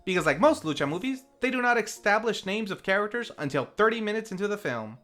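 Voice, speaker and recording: A review host narrating from a script, with theatrical flourishes and wry deadpan asides. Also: medium pitch, fast, faint music behind. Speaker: host of a film review series.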